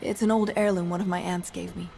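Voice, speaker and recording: A woman's voice: on the low side, level tone, fast talker, vocal fry, mellow, soft background music. An actress playing an earnest, grateful young woman in a video game, speaking sincerely and plainly. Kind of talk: scripted game dialogue.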